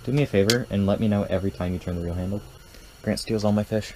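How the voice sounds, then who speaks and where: A man's voice: lowish voice, fast, noisy background. A young man chatting casually and playfully as an outdoor vlogger, recorded on a nearby action camera. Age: young adult. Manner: joking, playful. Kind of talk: casual vlog chat while ice fishing. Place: open frozen lake, later inside ice fishing tent. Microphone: action camera at arm's length or set nearby.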